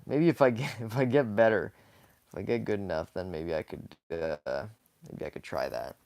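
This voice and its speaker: A hoarse young man talks casually while sketching live, rambling softly with many fillers and small laughs. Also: on the low side, moderate pace, dry recording. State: hoarse, scratchy from talking too much. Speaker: live-streaming sketch artist. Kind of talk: casual commentary while drawing.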